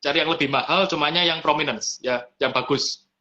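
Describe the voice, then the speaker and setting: A man's voice: on the high side, a little fast, bright, forceful tone. A man, a restaurant owner explaining his business in an easygoing chat, sprinkling in English business words. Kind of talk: casual interview chat. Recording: lo-fi live stream audio.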